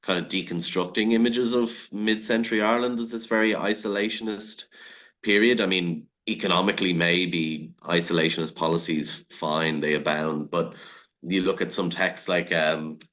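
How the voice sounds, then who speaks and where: Very deep-voiced man, flat academic delivery. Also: moderate pace, highs cut off. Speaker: academic panel speaker. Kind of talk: academic discussion, speaking off the cuff.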